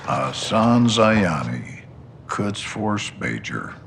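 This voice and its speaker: A man playing a gruff, impatient, profane military general in a video game, speaking with blunt authority. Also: on the low side, big pitch swings, extremely slow, background noise, exaggerated voice. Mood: gruff, probing. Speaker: army general. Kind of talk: cinematic military game dialogue.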